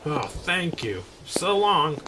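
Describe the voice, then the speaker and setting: A gruff, blunt working-class porter, put on for a radio comedy. His voice is lower, and he speaks deadpan, with a lively lilt at odd moments. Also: slow, exaggerated voice, rough recording.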